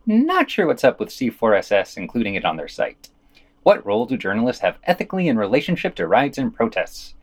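A man's voice: medium pitch, wildly swooping pitch, fairly quick. A man hosting a podcast, reading scripted news commentary and quotations with dry, opinionated asides.

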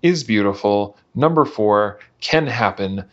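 Male reader, lower register, deliberate delivery of prepared text, dull podcast audio. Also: lively intonation. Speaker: reader of a manifesto. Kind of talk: scripted reading of a numbered manifesto.

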